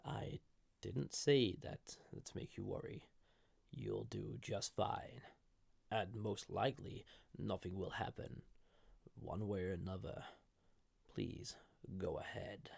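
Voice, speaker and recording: Male actor as ancient banished entity, deep and husky, halting formal speech, gently condescending menace, intimate audio roleplay. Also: dry recording, full character voice. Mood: cautious, instructing. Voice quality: gravelly, husky, often dropping to a whisper. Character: ancient banished villain entity, wounded, soft-spoken and menacing. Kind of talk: intimate scripted audio roleplay addressed to listener.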